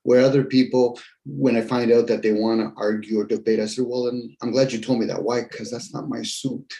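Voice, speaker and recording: A man's voice: medium pitch, fairly even intonation, fairly quick, vocal fry, warm tone. A man in his fifties hosting a casual group chat, talking in a rambling, reflective, joking way. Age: 50s. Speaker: host. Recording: roomy with faint noise.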